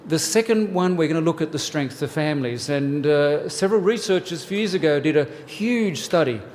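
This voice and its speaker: A man in his sixties with an Australian accent, from New South Wales. He gives a church workshop talk in a relaxed, joking way, with many "uh" fillers. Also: a little high, brisk, big-room sound, slight background noise. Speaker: family ministries pastor. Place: church hall.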